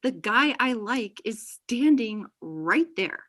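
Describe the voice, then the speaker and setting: A woman playing a chatty, high-energy friend in a comedy table read on a video call, with an animated, gushing manner. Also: slow pace, heavily acted. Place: small indoor room on a video call. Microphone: computer mic on video call.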